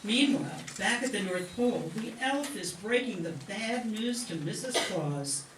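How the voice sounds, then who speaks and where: A woman's voice: mid-range voice, lively intonation, normal speed, fairly bright tone, slight background noise. A woman narrating a scripted radio play. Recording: echoey room, distant mic.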